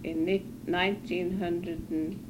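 A woman in her nineties from northern Norway, recalling her life haltingly in an oral history interview in her apartment. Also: fairly low pitch, flat delivery. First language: Norwegian. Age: 90s. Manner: halting, hesitant, self-correcting. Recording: hissy 1980s cassette tape.

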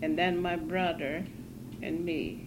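A woman in her nineties from northern Norway, recalling her life haltingly in an oral history interview in her apartment. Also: on the low side. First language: Norwegian. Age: 90s. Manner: halting, hesitant, self-correcting. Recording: hissy 1980s cassette tape.

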